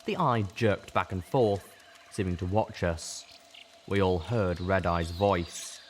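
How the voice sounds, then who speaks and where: Very deep-voiced male story narrator. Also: takes it slowly, a crowd in the background. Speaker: audiobook narrator. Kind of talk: scripted first-person story narration.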